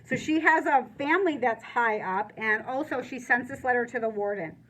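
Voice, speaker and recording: High-voiced woman reading a novel aloud. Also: moderate pace, fairly bright tone, a little hiss or hum, low-quality recording. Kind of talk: expressive read-aloud of a children's novel.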